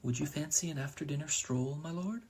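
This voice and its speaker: Man playing a female crow, gentle, soothing, formal, softly raised voice, gravelly edge. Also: on the high side, a little fast, voice pushed up.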